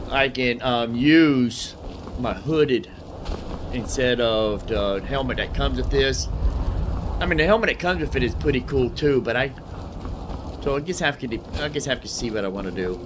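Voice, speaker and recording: A man's voice: mid-range voice, noisy background. A man giving casual gameplay commentary as a video host, repeating his phrases and using folksy slang.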